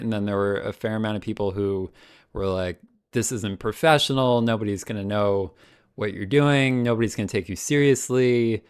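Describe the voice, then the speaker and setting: A man's voice: on the low side, smooth, dry recording, clean studio sound. A man as a podcast guest, chatting casually, laughing often and hesitating with many ums. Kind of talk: casual chat.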